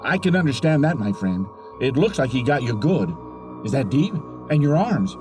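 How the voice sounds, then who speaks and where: A man's voice: medium pitch, very animated, moderate pace, natural voice. A man playing a concerned, fussy neighbour in a horror audio drama, earnest and fretful in his speech. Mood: worried, probing. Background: music.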